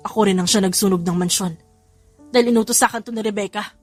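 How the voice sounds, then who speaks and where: A woman's voice: mid-range voice, fairly even intonation, fast, a little mellow, lightly acted. A woman voicing a remorseful, confessing uncle in an audio drama, with music behind. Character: guilt-ridden indebted uncle, a gambler confessing.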